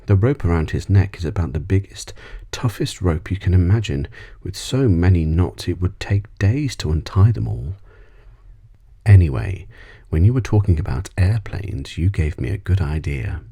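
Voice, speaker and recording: A kindly old mother-like cat, acted by a man tells a tale in a bedtime storybook. The voice is low, mellow and husky, with an earnest, chatty manner. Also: animated, normal speed, full character voice. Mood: hopeful, coaxing. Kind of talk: storybook character reading.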